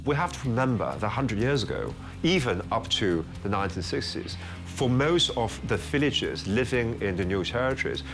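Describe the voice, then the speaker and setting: A man giving a measured, explanatory documentary interview about history. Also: mid-range voice, sing-song, music in the background. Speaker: commentator on history.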